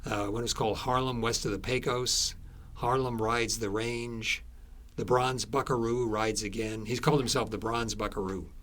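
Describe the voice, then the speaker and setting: A man speaking as an interviewed author, telling stories conversationally in a halting way, repeating words and trailing into asides. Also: medium pitch, steady tone, fairly bright tone.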